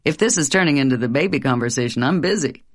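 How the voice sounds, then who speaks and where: An easygoing young husband who teases lightly in a lowered voice, as a woman reads him. Storybook character, relaxed and playful. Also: quick pace, no room sound, clean studio sound. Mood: teasing.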